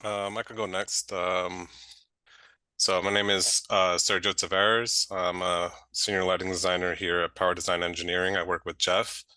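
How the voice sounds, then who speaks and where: A man with a very low, level voice introduces himself on a video call. His tone is bright and edged, and the sound is dry and close. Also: little pitch movement, normal speed, clean tone, no room sound. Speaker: senior lighting designer.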